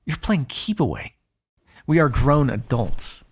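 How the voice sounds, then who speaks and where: A man playing an ageless, cynical male vampire in an audio roleplay, with a gravelly, husky voice and a sardonic, exasperated manner. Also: medium pitch, big pitch swings, a little slow, heavily acted. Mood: exasperated, dry. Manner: sardonic, drawling, swearing, exasperated asides. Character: ageless male vampire, cynical, menacing, grudgingly fond captive. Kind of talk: ASMR roleplay, one-sided dramatic dialogue.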